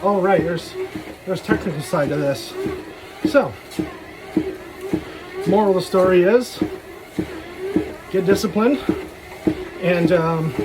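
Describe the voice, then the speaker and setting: A man's voice: high voice, noisy background. A man giving a casual, rambling solo vlog monologue in frank, slangy, sweary talk. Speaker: solo vlogger.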